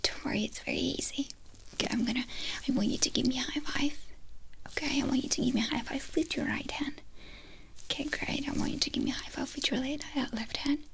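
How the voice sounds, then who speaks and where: A young woman plays a doctor in an ASMR exam, speaking in a breathy whisper close to the mic. Her voice sits high with a noticeable crackle. She gives gentle, reassuring instructions. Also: quick pace. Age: young adult. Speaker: ASMR performer playing a doctor. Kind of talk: ASMR medical exam roleplay. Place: small indoor room dressed as a clinic. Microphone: close microphone in front of the speaker.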